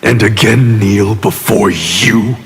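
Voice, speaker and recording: A bitter, defiant ninja warlord in a fighting game. He speaks slowly and haughtily in a very gravelly voice, ringing with reverb. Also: lowish voice. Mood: defiant, haughty. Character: proud, bitter, defiant rebel ninja grandmaster. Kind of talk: video game dialogue. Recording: long reverb, music behind voice.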